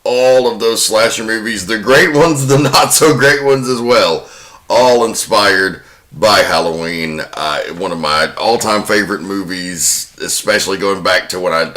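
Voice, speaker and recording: A man's voice: sits low, pushed and bright. A man hosting a podcast, talking about film with a dramatic storyteller's delivery. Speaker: podcast host. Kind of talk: scripted narration of a film plot and round-table film talk. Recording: roomy echo, faint music.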